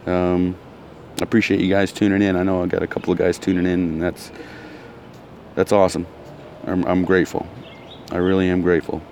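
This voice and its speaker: Deep-voiced man ranting bluntly. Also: level tone, a little fast, pauses often, darkish tone, a little hiss or hum. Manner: blunt, heated, repetitive. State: recovering from a sore throat. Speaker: vlogger. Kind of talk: casual ranting monologue.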